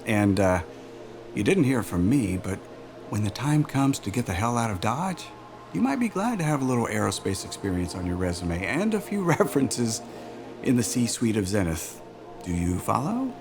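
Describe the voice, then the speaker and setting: A man's voice: fairly low pitch, big pitch swings, normal speed, over music. An actor playing a chummy, persuasive aerospace executive interviewer in a cinematic audio drama, with a chatty, polished salesman manner. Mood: conspiratorial, probing. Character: smooth, glib male aerospace executive recruiter, chummy and persuasive.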